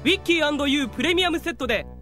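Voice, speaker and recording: A haughty rich teenage boy, boasts in grand theatrical fashion for an audio drama. The voice is very high and bright and swings widely in pitch. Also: lively intonation, very fast, faint music behind. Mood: smug. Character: pompous, boastful rich male high school student. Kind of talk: Japanese audio drama acting.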